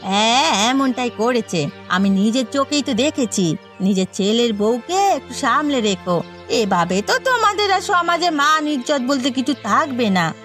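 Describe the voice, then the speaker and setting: A woman's voice: on the high side, very animated, measured pace, fairly bright tone. A woman voicing a nosy, trouble-stirring village gossip in a cartoon drama, insinuating and needling, with music behind.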